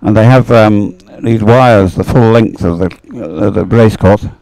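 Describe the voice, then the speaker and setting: Halting man commentating live on sport. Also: on the low side, creaky, darkish tone, dry recording, rough recording. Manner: halting, hesitant, rambling. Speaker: race commentator.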